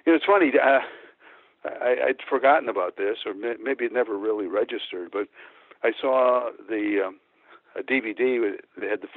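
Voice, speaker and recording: An older man from Chicago heard over a narrow, phone-like connection with a dry sound. He is an interview guest and musician, reminiscing casually. His delivery is hesitant and rambling, at a medium pitch. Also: normal speed, dry recording. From Texas-born, grew up in Chicago. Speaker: guest, former band musician. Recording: tinny phone line, narrow band.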